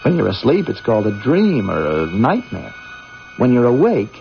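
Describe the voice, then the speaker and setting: A man's voice: medium pitch, huge pitch swings, moderate pace, gravelly. A man playing a loyal, sceptical astronaut co-pilot in a radio drama, placating and reasoning, on an old radio recording. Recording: dull 1980s radio recording, faint music.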